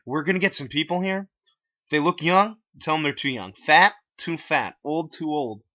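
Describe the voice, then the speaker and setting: Man putting on a loud, bossy cult leader. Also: fairly high pitch, moderate pace, dull audio, pitched above the performer's own voice. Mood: curt, commanding.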